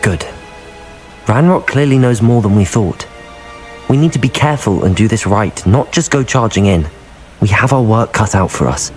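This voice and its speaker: Man voicing level-headed young wizard student, lively intonation, earnest, firm, video game dialogue, faint music. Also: fairly low pitch, normal speed, close to an everyday voice. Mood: firm, pleading.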